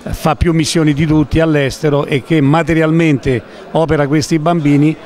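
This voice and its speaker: An older Italian man, in his sixties, answers an interviewer's handheld mic in a big indoor lobby. His voice sits a little high, and he talks in an unhurried, earnest and modest way. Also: level tone, a little mellow. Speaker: charity event president. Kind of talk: interview at an event. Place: large indoor lobby of a concert venue.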